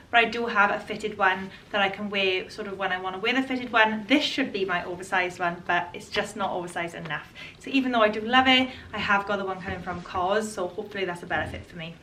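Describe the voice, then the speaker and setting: A woman in her twenties, a fashion vlogger chatting to camera in a casual, enthusiastic way, her voice a little hoarse from a cold. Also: mid-range voice, bright, forceful tone, distant mic, a little hiss or hum, low-quality recording. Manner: chatty, enthusiastic, restarts and repeats words. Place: bright room indoors.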